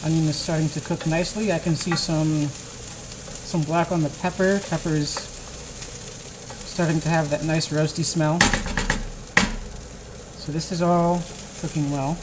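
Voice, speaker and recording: Low-voiced woman cooking over a sizzling pan. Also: unhurried, long pauses. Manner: relaxed, thinking aloud while cooking. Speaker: streamer. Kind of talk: casual cooking livestream talk. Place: home kitchen. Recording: kitchen noise and sizzling pan. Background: frying and sizzling.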